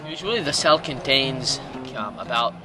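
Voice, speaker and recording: A man in his late teens from Iraq with a Middle Eastern accent gives personal testimony in halting, non-native English. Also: mid-range voice, lively intonation. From Iraq, Jordan and Syria. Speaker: documentary interview subject. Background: music.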